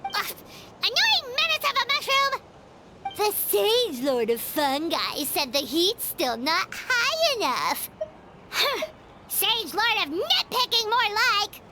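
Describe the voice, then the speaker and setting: A woman voicing a small, excitable, easily indignant fairy girl in a video game, squeaky and childlike. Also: takes it slowly, very noisy, heavily acted. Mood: annoyed. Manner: exclamatory, speaks of herself in third person.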